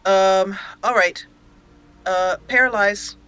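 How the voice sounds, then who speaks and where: Bright-voiced woman narrating a roleplay playthrough. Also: medium pitch, slow pace, clean tone, rough recording. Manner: expressive, talks to the game characters. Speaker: gaming channel host. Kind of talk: roleplay game commentary with storytelling narration.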